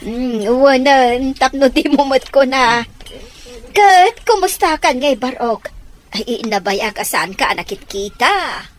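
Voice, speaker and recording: A woman's voice: high voice, animated, a little hiss or hum. An actress playing a plaintive middle-aged village mother in a radio comedy-drama, drawing out her words with sighs and exclamations. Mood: affectionate. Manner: drawn-out, sighing, exclamatory.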